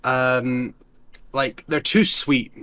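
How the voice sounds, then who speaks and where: A young Scottish man chatting casually on a tier-list panel, rambling and animated, swearing freely and restarting his sentences. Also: mid-range voice, very slow. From Scotland. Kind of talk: casual chat.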